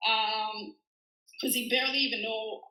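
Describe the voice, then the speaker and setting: A young Israeli woman chats as a podcast guest, her voice high and bright with a lot of sparkle. She rambles through stories, laughs often and fills her talk with "like." The room around her sounds echoey. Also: fairly even intonation, unhurried. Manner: chatty, laughs often, leans on "like". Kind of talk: casual storytelling.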